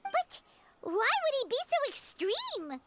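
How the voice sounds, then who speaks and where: Super high squeaky little fairy buddy in a game, chirpy and worried, bouncy voice. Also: female voice, wildly swooping pitch, very noisy, exaggerated voice. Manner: squeaky, chirpy, quick to worry. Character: tiny floating fairy-like companion, chirpy and anxious. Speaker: game character. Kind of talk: video game voice acting.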